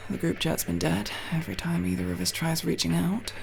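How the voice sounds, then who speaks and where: Low, gravelly young tomboy played by a woman. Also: flat delivery, a little hiss or hum. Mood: gentle concern. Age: young adult. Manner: halting, sincere, casually profane, gently teasing. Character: tomboy friend, young woman, guarded but tender, world-weary. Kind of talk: ASMR roleplay, intimate one-sided dialogue.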